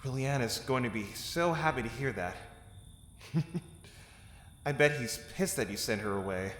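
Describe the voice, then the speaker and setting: A man playing a loyal, caring and wry soldier in an audio drama, speaking in an expressive, conversational way. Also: medium pitch, a little slow, echoey room, big-room sound. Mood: surprised, teasing.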